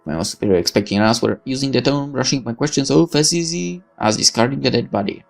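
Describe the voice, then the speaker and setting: A man hosting a gaming video, reading the story narration aloud theatrically, with expressive asides and swearing. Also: mid-range voice, fast talker, gravelly, soft background music.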